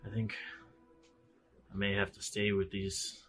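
A man's voice: on the low side, completely flat delivery, unhurried, a bit bright. A man playing a tabletop role-playing game, speaking for his character and casually narrating its actions. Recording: music playing under the voice. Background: background music.

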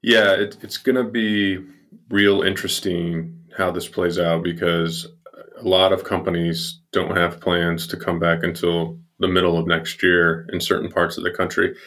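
Deep-voiced male interviewer, attentive, leads the guest with questions. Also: little pitch movement, a little slow, a bit bright. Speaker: interviewer, host. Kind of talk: interview conversation.

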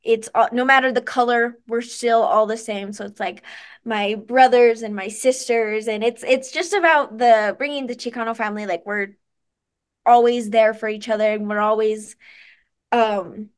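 Chatty young woman with a higher voice. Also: fairly even intonation, moderate pace, clean tone. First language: English. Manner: chatty, upbeat, with frequent fillers and restarts. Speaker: singer and guest. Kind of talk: casual interview chat.

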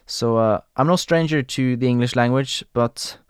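A young man from Norway hosting a podcast in English with a Norwegian accent, talking casually with frequent pauses and fillers. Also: medium pitch, smooth, dry recording, studio quality. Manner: hesitant, many fillers and restarts, laughs often. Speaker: podcast host. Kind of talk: casual solo podcast talk.

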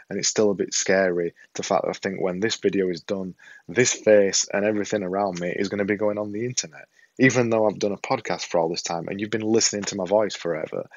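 Yorkshire guy vlogging at home, earnest, bit low voice, dry slightly muffled sound. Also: dry recording. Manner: earnest, self-deprecating, repeats himself for emphasis. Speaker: vlogger and podcaster. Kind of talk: casual talk to camera. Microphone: desktop setup with a camera and a monitor.

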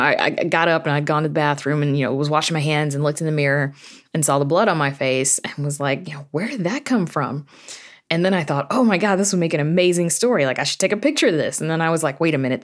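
A woman with a low voice speaks as an interview guest. Her delivery is informal and reflective, in the manner of someone telling a story in conversation. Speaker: guest, horror fiction writer and podcast creator.

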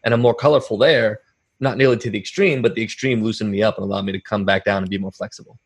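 Lowish-voiced man interviewing. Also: fairly even intonation, fast talker, husky. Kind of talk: conversational interview.

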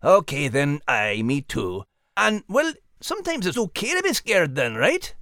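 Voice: medium pitch, huge pitch swings, unhurried, fairly bright tone, no room sound, studio quality, heavily acted. An actor playing a plucky, cheeky little male terrier with a Scottish accent, co-hosting a children's story podcast. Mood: chatty, cheeky. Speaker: co-host.

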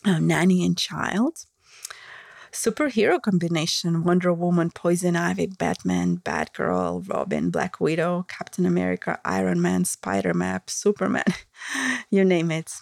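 A woman's voice: fairly low pitch, animated, a little slow, vocal fry, a little mellow, no room sound, studio quality. A woman with a Russian accent, hosting a podcast and speaking frankly and casually in a candid, matter-of-fact monologue. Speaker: podcast host and intimacy educator.

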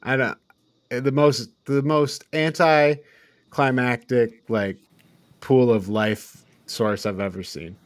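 A man's voice: mid-range voice, steady tone, slow, no echo. Opinionated man, dry close sound. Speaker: podcast co-host. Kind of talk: casual, opinionated film critique.

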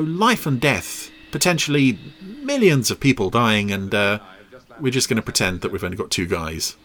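A man's voice: medium pitch, fairly bright tone. A man giving casual, wry, teasing running commentary on a television episode, often mock-exasperated. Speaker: podcast host commenting on an episode.

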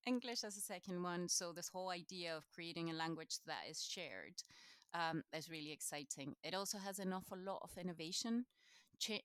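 Low-pitched woman with a Chilean Spanish accent. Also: fairly bright tone, clear, resonant tone, dry recording. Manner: enthusiastic, chatty. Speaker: interview guest, knowledge exchange manager. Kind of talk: casual conversational interview answers.

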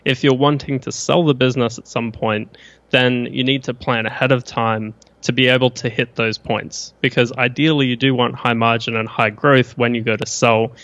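Australian man in his thirties, podcast guest, steady monotone delivery, dry and slightly dull call audio. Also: medium pitch, normal speed, no room sound. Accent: Australian with some New Zealand. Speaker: guest, business broker. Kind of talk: casual podcast interview.

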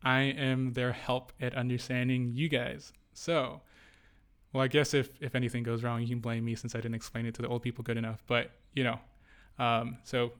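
A man's voice: medium pitch, little pitch movement, moderate pace, fairly bright tone. A young adult man co-hosting a casual podcast, talking in a playful, joking way. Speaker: podcast co-host. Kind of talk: casual chat.

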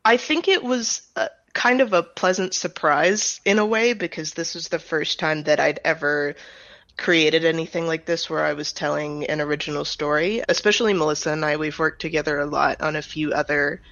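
A woman's voice: low voice, normal speed, a bit bright, no room sound. A woman answering interview questions as a writer and director, explaining things step by step in a conversational way. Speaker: audio drama writer and director, guest. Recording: slightly dull, band-limited close recording.

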